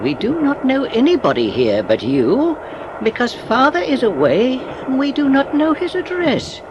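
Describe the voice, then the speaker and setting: An actor playing a kindly, courteous old gentleman in a dramatised audiobook, with an avuncular, expressive manner. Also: very high-pitched, measured pace, lo-fi sound, background noise, exaggerated voice. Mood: reading aloud.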